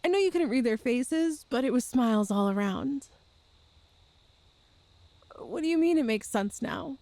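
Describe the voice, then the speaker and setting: A woman's voice: high-pitched, lively intonation, a little slow, no echo. A woman playing an affectionate, playful girlfriend from a ghost family in an ASMR audio roleplay, chatty and tender. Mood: teasing, relieved. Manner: chatty and tender, with soft laughs and sighs.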